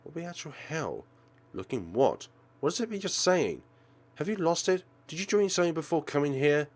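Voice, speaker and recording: A man's voice: fairly high pitch, very animated, normal speed, pitched above the performer's own voice. A man voicing a frightened, indignant teenage schoolgirl in a visual novel, exclaiming and pleading.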